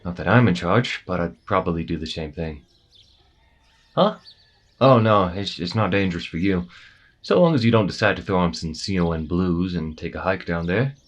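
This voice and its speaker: A man's voice: deep voice, moderate pace. A man acting an easygoing, matter-of-fact soldier at a checkpoint in an audio roleplay, explaining things casually with a slight hesitancy.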